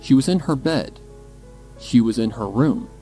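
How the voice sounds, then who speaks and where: A man's voice: mid-range voice, expressive, a little slow, mellow. A man reading scripted horror fan fiction as the narrator. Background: music.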